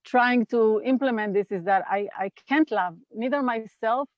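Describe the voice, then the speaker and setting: A woman's voice: a little high, dry recording, dull audio. A woman in her fifties with an Israeli accent, a guest speaking thoughtfully and unhurriedly about her path in an interview over a video call. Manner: thoughtful, unhurried, with pauses and fillers. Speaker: guest, NVC trainer. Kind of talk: reflective interview talk. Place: home in California.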